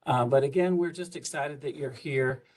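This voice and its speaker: A man's voice: mid-range voice, normal speed. An older man from South Georgia, giving folksy welcoming remarks as a college administrator on a video call. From South Georgia, USA. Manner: folksy, with frequent fillers. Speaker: college administrator introducing the president.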